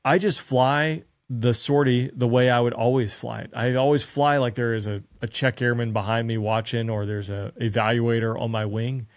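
A man's voice: mid-range voice, steady tone, normal speed, few pauses. Earnest man coaching pilots, rhetorical questions. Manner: earnest, conversational, asks himself rhetorical questions. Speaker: former fighter pilot giving flying advice. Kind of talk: direct-to-camera instructional talk. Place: small dark studio room. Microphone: studio mic on stand in front of him.

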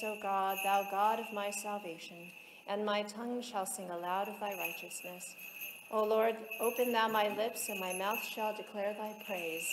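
Woman, solemn liturgical reader, measured formal recitation, long church-like reverb, loud background noise. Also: medium pitch. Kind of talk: solemn chanted recitation of psalms and hymns. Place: large reverberant interior.

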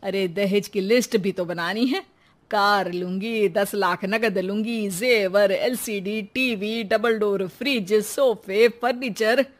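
A woman voicing a scolding, greedy middle-aged housewife in a cartoon, with an emphatic, sharp-tongued delivery. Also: mid-range voice, takes it slowly, natural voice. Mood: greedy, defiant. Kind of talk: animated cartoon dialogue.

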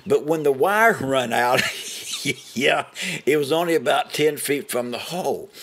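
A man's voice: mid-range voice, fairly bright tone. A middle-aged or older man with an Appalachian American accent, telling a story in a homespun way and prone to chuckling. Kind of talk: folksy first-person storytelling.

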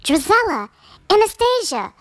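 A woman voicing a cold, mean middle-aged stepmother in a children's storybook, commanding and deliberate. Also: very high voice, very animated, a bit bright, no room sound, pitched above the performer's own voice, heavily acted. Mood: calling sharply. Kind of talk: children's storybook read-aloud.

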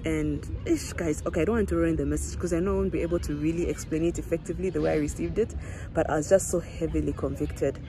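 A woman's voice: low voice, steady tone, quick pace. A woman in her thirties from Zambia, with a Zambian accent that slips toward South African, chatting earnestly to her phone camera in a rambling, filler-filled way beside a parked car. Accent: Zambian, slipping into South African. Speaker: vlogger. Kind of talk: casual selfie vlog chat. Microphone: phone held at arm's length. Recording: phone audio with outdoor noise.

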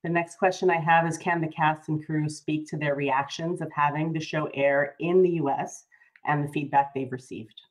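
A woman's voice: low-pitched, fairly even intonation, normal speed, rough recording. A woman moderating a video call panel, reading audience questions in an upbeat way.

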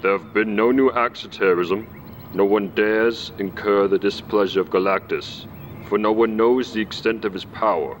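A man's voice: lowish voice, fairly even intonation, normal speed, slight background noise, close to an everyday voice. A man narrating a comic book story in a formal, grave, scripted style.

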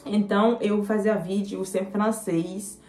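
A young woman in her twenties, a native French speaker with a French accent, chatting to camera with many fillers and pauses as she answers viewer comments. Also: medium pitch, steady tone, a little mellow, echoey room, faint music behind. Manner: hesitant, many fillers, switches into English. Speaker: vlogger. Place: small indoor room.

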